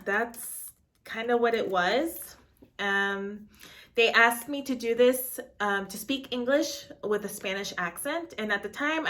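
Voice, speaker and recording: A woman's voice: medium pitch, pushed and bright. A woman in her late twenties, an actor, chatting nervously and rambling with many fillers in a casual reaction vlog.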